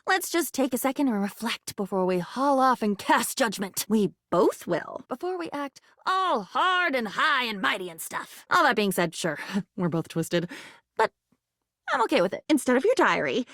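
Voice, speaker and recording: A woman's voice: a little high, big pitch swings, normal speed, dry recording, studio quality, full character voice. A woman voicing a sweet, naive and chatty living diary in a video game, rambling with wide-eyed wonder. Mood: playful, reflective, then hopeful, tender. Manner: breathless rambling, wide-eyed, swings from hushed to gushing.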